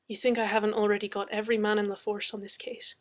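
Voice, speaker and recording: A woman's voice: mid-range voice, level tone, fast, lightly acted. A woman voicing a formal, dismissive male London police detective in an audiobook. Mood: stiff, sceptical. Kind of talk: dramatic audiobook character reading.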